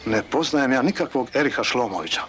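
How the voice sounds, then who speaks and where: An actor playing a cheeky, evasive carpenter who answers sarcastically. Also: male voice, medium pitch, lively intonation, fast, a bit bright, slight background noise, lightly acted. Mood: sarcastic. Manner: sarcastic, dodging.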